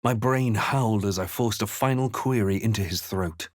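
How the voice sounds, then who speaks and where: A man voicing a brooding, wry fantasy adventurer hero in a video game, with measured, dramatic delivery. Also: lowish voice, no room sound, clean studio sound, close to an everyday voice. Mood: grave, haunted. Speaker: video game player-character voice actor. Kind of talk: dramatic first-person fantasy game narration and voice lines.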